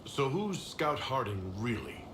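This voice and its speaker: A man's voice: mid-range voice, big pitch swings, a little slow. A man voicing a composed, inquisitive fantasy leader in a video game, speaking directly and mostly in questions. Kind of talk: video game dialogue acting. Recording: roomy echo, clearly noisy game capture.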